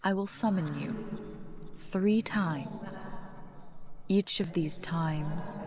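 An aloof goddess of death acted by a woman addresses a mortal in a low, very slow voice. She is imperious and possessive, leaving long, deliberate pauses in a fantasy audio roleplay. A crowd-like murmur sits behind her. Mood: commanding. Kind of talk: scripted audio roleplay monologue.

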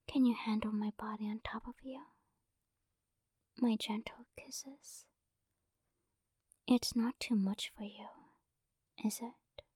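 A woman doing a whispered romantic ASMR roleplay, speaking softly and coaxingly, close to the microphone. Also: fairly high pitch, dry recording. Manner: soft breathy whispering, affectionate and coaxing. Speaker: ASMR performer.

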